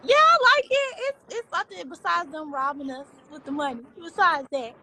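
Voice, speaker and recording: A woman's voice: high voice, lively intonation, moderate pace, heavy background noise. A young woman in her twenties, a student interviewer asking questions in a hesitant way, full of fillers and restarts. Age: 20s. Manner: hesitant, filler-heavy, self-correcting.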